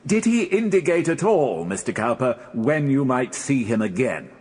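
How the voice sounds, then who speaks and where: An actor playing a stern, relentless British prosecuting barrister in a radio drama, questioning formally and deliberately. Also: male voice, mid-range voice, big pitch swings, darkish tone, a little hiss or hum, lightly acted. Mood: formal probing.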